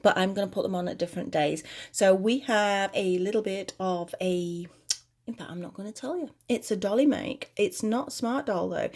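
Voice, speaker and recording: A British woman hosting a crochet tutorial at home, chatty and rambling as she talks through each step, her voice a little croaky. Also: medium pitch, moderate pace, darkish tone. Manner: friendly, rambling, talks while working.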